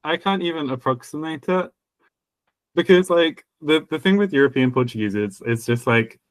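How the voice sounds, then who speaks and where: A man's voice: on the high side, lively intonation, normal speed, no room sound. A man co-hosting a podcast, chatting casually about language, rambling with many restarts and laughing at himself. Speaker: podcast co-host.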